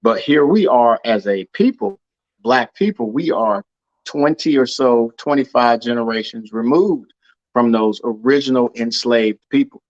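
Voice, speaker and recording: A man's voice: mid-range voice, a little slow, no room sound, muffled recording. A man hosting a casual discussion over a call, earnest and often repeating words as he thinks. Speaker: podcast host.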